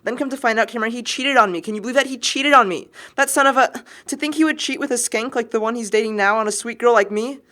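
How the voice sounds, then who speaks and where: A man's voice: very high voice, fast, few pauses, pushed and bright, pitched above the performer's own voice. A young man voicing a whiny, indignant, melodramatic young woman griping about an ex. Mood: mock indignant. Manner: melodramatic, gushing.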